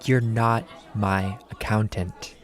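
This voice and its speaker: A man's voice: on the low side, steady tone, takes it slowly, soft background music. A man playing a short-tempered, sarcastic bounty hunter and ex-cop, blunt and dismissive, in an acted film script read. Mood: curt, irritated.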